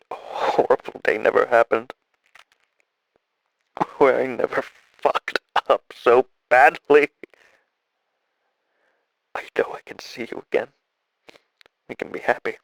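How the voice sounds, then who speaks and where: A man playing a dying, regretful scientist in an audio drama, speaking haltingly and wearily as he records a solemn log. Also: medium pitch, gravelly, dry recording, rough recording. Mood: grieving, regretful. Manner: halting, solemn, weary delivery with trailing phrases.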